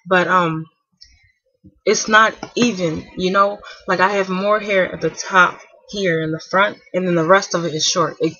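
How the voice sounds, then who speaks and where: Bright, husky young woman chatting softly. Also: on the low side, no room sound, muffled recording. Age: 20s. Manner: rambling, with many fillers and restarts; speaking softly to keep a napping child asleep. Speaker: hair vlogger. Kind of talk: casual chat to camera.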